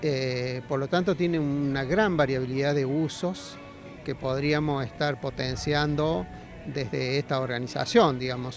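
A middle-aged man with an Argentine accent answering interview questions outdoors into a handheld mic, with halting, hesitant phrasing. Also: on the high side. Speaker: agronomy faculty representative being interviewed. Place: outdoors at a busy fairground. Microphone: handheld interview microphone.

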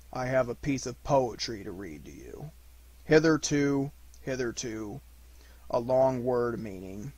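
A man voicing a gloomy, self-important old donkey in a children's storybook, halting and pompous, muttering asides. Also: mid-range voice, a little mellow, slightly rough, dry recording.

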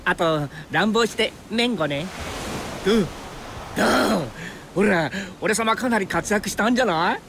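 A man's voice: sits high, normal speed, fairly bright tone, slight background noise. An actor playing an easygoing but desperate young male fighter from a video game, with a casual, slangy, pleading way of talking. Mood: eager, cheeky. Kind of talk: anime video game voice acting. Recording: background music under the voice.

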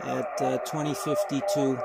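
A man's voice: medium pitch, little pitch movement, unhurried, vocal fry. A man who hosts an amateur radio channel, talking casually as he narrates and makes radio contacts. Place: small indoor radio desk at home. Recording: noisy room with radio static.

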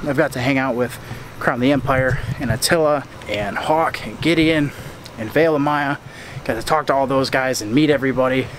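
A man's voice: medium pitch, normal speed. Young American guy vlogging over street noise. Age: young adult. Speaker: tour videographer and vlogger. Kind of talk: casual vlog to camera. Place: walking on a town street at night. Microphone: camera at arm's length. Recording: noisy outdoor street at night.

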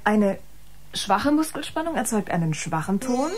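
A woman's voice: mid-range voice, big pitch swings, normal speed, a little mellow, soft background music, natural voice. A woman playing a proud, intense musician aboard a spaceship in a radio play, speaking emphatically. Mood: explaining proudly. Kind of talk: German radio play acting.

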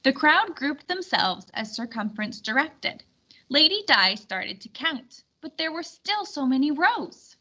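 A woman reading a storybook aloud as an expressive, animated, emphatic storyteller. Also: high-pitched, a little slow, muffled recording. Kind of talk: expressive scripted storybook narration.

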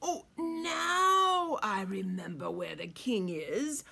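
A woman voicing a sly male fox villain in a storybook, falsely friendly, with wheedling, drawn-out lines. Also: a little high, sing-song, fairly bright tone, husky, full character voice. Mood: sly, coaxing.

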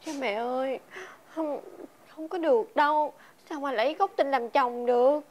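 A woman's voice: high voice, normal speed, a little mellow, natural voice. A woman playing a frightened, devoted young daughter in a fairy-tale television drama, tearful and pleading, with a Southern Vietnamese accent.

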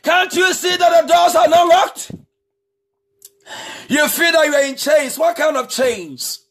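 A man preaching a sermon as pastor, shouting with a repetitive, sing-song cadence. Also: very high-pitched, unhurried. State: married. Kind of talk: impassioned preaching.

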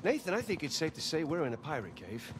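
A man's voice: fairly high pitch, wildly swooping pitch, fast, background noise. An actor playing an eager, curious male treasure hunter in a video game cutscene, full of questions.

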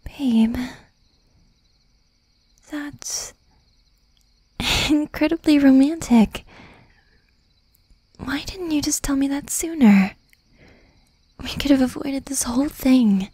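A woman playing a tender, possessive young demon girlfriend in an affectionate, whispered ASMR roleplay, soft and breathy. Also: high-pitched, slow, pauses often, no echo. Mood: relieved, adoring.

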